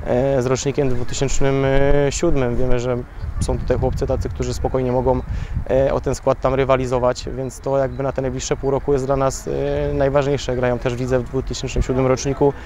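Flat-voiced coach interviewed by the pitch. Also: male voice, slight background noise. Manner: hesitant, many fillers, measured. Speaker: youth football coach. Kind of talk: post-match interview. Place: outdoors beside a football pitch.

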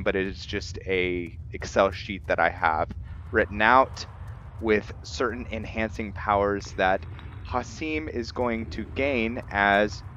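A man's voice: low voice, fairly bright tone. A man hosting a let's play with casual, explanatory gameplay commentary, often putting questions to his viewers. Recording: dull lo-fi mic, noisy background.

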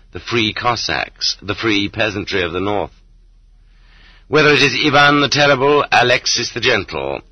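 A man reading a literary audiobook in an expressive, rhetorical manner. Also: lowish voice, husky, no echo. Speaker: audiobook narrator. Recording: slightly dull audiobook transfer from cassette.

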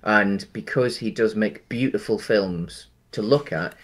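A man's voice: lowish voice, measured pace. A man, co-hosting a casual film chat over a video call, rambling through restarts. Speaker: podcast co-host. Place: home room on a video call.

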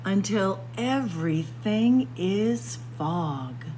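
Slow, lively woman reading a picture book. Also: mid-range voice, slight background noise, rough recording. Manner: sing-song, drawn-out phrasing with dramatic pauses. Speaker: library story time host. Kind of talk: picture book read-aloud for young children. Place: indoors among library bookshelves.

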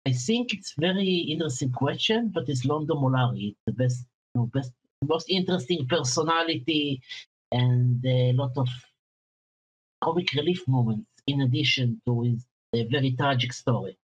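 A man in his late thirties with an Israeli accent, a guest speaking hesitantly with pauses over a video call. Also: mid-range voice, expressive, husky, highs cut off. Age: 30s. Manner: hesitant, plain phrasing with pauses. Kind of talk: casual interview chat.

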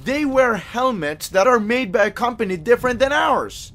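A man's voice: very high voice, lively intonation, moderate pace, fairly bright tone, a little hiss or hum, full character voice. A man playing a petty, self-righteous, whiny army private in an audio drama, fussy and indignant. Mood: defensive. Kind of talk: radio drama acting.

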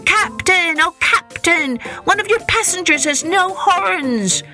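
A woman voicing an indignant, frantic male rabbit in a children's story, calling out in a shrill voice. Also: animated, slow pace, music in the background, heavily acted. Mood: loud tattling.

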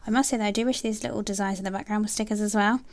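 A young woman chatting casually about planner stickers, soft and gushing, with many ums and likes. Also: mid-range voice, fairly even intonation, rapid-fire. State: has a bad cold, nasal and throaty. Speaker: planner sticker haul vlogger. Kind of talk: casual haul chat. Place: indoors at a table, filming her hands.

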